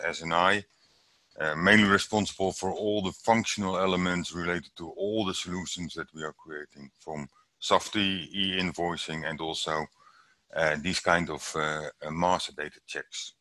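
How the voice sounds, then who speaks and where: Very low-voiced man, halting. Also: fairly even intonation, pushed and bright, dry recording. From Netherlands. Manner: halting, many fillers. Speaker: functional design architect. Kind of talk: brief self-introduction.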